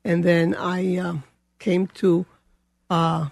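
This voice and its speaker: Gravelly older woman, Colombian accent. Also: on the low side, flat delivery, takes it slowly, a little mellow, no room sound. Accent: Colombian Spanish. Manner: rambling, many fillers and restarts. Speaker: guest. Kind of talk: casual interview.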